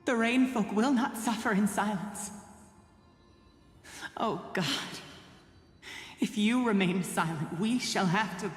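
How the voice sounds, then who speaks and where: An actress playing a solemn, defiant priestess who speaks for an oppressed people. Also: female voice, medium pitch, takes it slowly, pauses often, natural voice. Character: defiant solemn woman rain-folk priestess. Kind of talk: video game dub acting. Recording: echoey hall with soft music.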